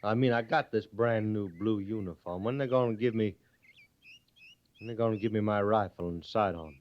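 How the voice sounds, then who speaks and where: A low-pitched, needling prisoner turned army private played by a man in an old radio western. He talks in a folksy, ungrammatical drawl that challenges authority. The recording is muffled and vintage. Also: normal speed, close to an everyday voice. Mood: insistent.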